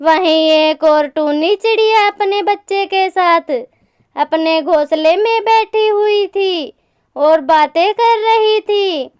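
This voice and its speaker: A woman's voice: very high-pitched, takes it slowly. A woman narrating a scripted children's story.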